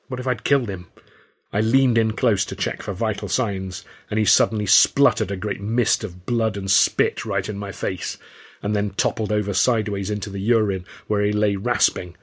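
A British man reading his own novel as an expressive, wry first-person audiobook narration. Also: on the low side, slightly rough.

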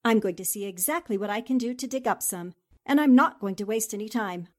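A woman's voice: mid-range voice, a little fast, lightly acted. A woman playing a determined, principled newspaperwoman in a 1940s radio drama, speaking in an assertive, articulate and polite way. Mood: resolute, challenging. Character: determined, principled newspaperwoman investigating a murder case.

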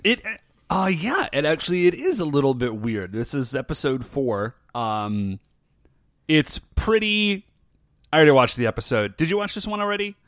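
A man's voice: fairly high pitch, big pitch swings, moderate pace, a bit bright, dry recording, clean studio sound. A man co-hosting a casual podcast, laid-back and playful, often agreeing and laughing along. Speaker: podcast co-host. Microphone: recording headset.

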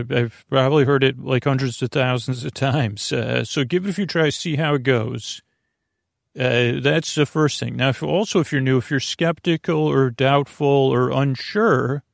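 A man with a creaky voice hosting a sleep podcast in a rambling, halting, gently self-deprecating monologue that keeps wandering off mid-thought. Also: mid-range voice, no room sound, studio quality.

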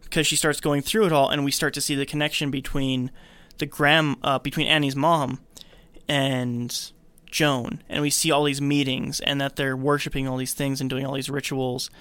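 A man hosting a podcast, casually retelling a film's story in an animated storytelling way. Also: medium pitch, normal speed, clean tone, no room sound. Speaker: podcast host recapping a film. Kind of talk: casual film recap narration.

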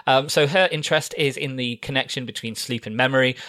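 A man's voice: mid-range voice, brisk, bright, forceful tone, no echo. A man hosting a podcast, recapping a TV series in a rambling way with many ums and dry humour. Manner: rambling, lots of fillers and restarts, dry humour. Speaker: podcast host.

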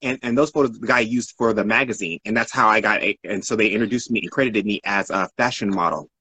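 A man's voice: on the low side, quick pace, sharp tone, breathy edge, dry recording. A man being interviewed as a guest, telling stories casually in a rambling way and often correcting himself. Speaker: interview guest, art model.

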